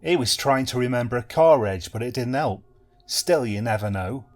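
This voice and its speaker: A man's voice: medium pitch, lively intonation, normal speed, close to an everyday voice. A man voicing a chatty, friendly male police contact.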